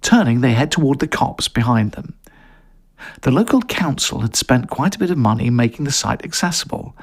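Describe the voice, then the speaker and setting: A man's voice: medium pitch, lively intonation, normal speed, slightly rough. A man reading a scripted audio story as narrator.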